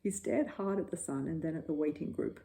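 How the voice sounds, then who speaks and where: Female storyteller for children's bedtime narration; slightly low, mellow, animated. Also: a little fast.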